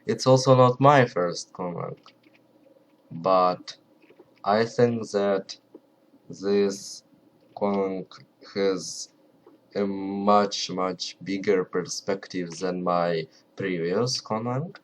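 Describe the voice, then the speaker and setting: A low-pitched man with a Ukrainian accent talks to camera in English, his second language, at a very slow, careful pace. He keeps his voice soft and often stops to search for words. A faint background noise sits under the recording. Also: poor audio. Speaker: video creator. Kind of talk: casual talk to camera.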